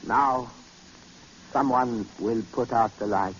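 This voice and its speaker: An actor playing a solemn, menacing mystic with a formal, portentous delivery in old-time radio style. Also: male voice, mid-range voice, takes it slowly, close to an everyday voice. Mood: solemn commanding. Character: solemn, menacing turbaned male mystic and seance leader. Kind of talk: 1940s radio acting. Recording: muffled, hissy old radio recording.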